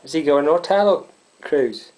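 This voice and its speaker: A woman's voice: low-pitched, animated, a little slow, dark tone, slight background noise, low-quality recording. A woman, chatting casually and asking questions. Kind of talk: casual chat.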